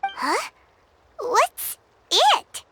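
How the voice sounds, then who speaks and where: An actress voicing a tiny, childlike fairy companion in a video game, squeaky, cutesy and eager. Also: female voice, wildly swooping pitch, drawn-out words, pushed and bright, a little hiss or hum, exaggerated voice. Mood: curious. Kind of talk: scripted video game voice acting.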